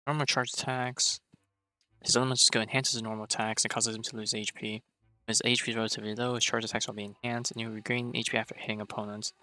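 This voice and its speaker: A man's voice: medium pitch, little pitch movement, quick pace, sharp tone, no echo, poor audio. A man giving casual live commentary as a game streamer, rambling and thinking aloud. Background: faint game music.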